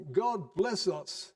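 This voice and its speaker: Guy doing a mild kind dad, slow n earnest, raised voice a bit, storybook style, little far from mic. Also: high-pitched, vocal fry, full character voice. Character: mild, kindly father of a poor family.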